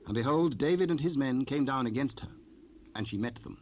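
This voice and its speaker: A male narrator with a medium-pitched voice reads scripture as a formal story. His delivery is measured and scripted. It comes from a cassette rip with faint noise. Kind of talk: scripted scripture narration, King James Bible.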